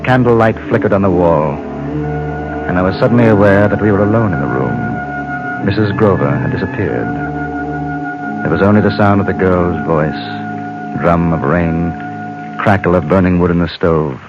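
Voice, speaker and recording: An actor playing a courteous, unflappable gentleman correspondent in the Old West, measured and dryly amused, in old-time radio style. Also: low voice. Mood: pensive. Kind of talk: old-time radio drama dialogue and first-person narration. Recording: dull old radio recording, music behind.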